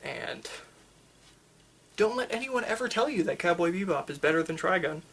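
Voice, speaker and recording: ASMR store worker, mid-pitched and creaky, halting casual chat, enthusiastic fan, slight ambient noise. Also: animated, fairly bright tone. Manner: rambling, halting, enthusiastic about anime. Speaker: manga store worker in a roleplay. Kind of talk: ASMR roleplay, casual customer-service chat.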